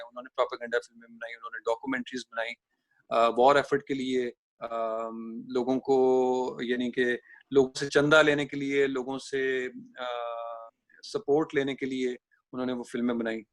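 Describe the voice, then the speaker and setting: A Pakistani man explaining film history in conversation, deliberate and halting, pausing mid-thought. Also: mid-range voice, a bit bright, dry recording. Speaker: discussion co-host.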